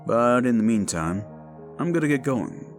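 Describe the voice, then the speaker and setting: A man's voice: medium pitch, big pitch swings, moderate pace, music in the background. A man hosting his own audio channel, talking casually and directly to his listeners in an outro. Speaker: audio roleplay creator and host.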